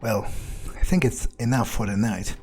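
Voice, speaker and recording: A man improvises a cynical, brooding vampire during a video game. His voice is dark and relaxed, with halting phrases as he thinks aloud, and faint music plays behind him. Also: fairly low pitch, lightly acted. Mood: resolved. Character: cynical, foul-mouthed male vampire musing on power. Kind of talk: improvised in-character game roleplay.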